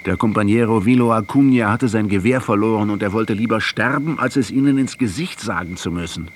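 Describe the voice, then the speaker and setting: A man's voice: lowish voice, a little fast, slight background noise, close to an everyday voice. An actor playing an anguished, disillusioned guerrilla fighter in a radio drama, impassioned and accusing toward his commander. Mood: anguished, reproachful.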